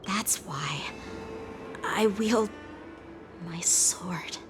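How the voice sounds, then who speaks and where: A woman's voice: medium pitch, very slow, over music. A woman voicing an earnest, introspective teenage boy swordsman in a video game. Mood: determined.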